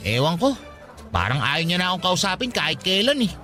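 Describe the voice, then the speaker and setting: Man acting a high, bright-voiced lovesick young guy. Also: big pitch swings, a little fast, faint music behind. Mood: anxious, dejected. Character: lovesick, jealous young male fast-food worker, anxious and stubborn. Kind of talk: Tagalog radio drama acting.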